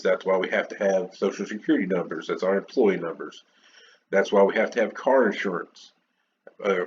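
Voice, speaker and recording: A man's voice: low voice, muffled recording, slight background noise. A man hosting his own podcast alone, chatting unscripted and opinionated, rambling with many restarts and fillers. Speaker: podcast host. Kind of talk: unscripted solo podcast chat.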